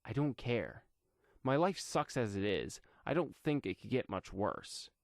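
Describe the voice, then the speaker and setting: A man's voice: mid-range voice, sing-song, a little slow, vocal fry, no room sound, clean studio sound. A man voicing a stubborn young male vigilante in a superhero audio story, matter-of-fact and resolute. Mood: determined.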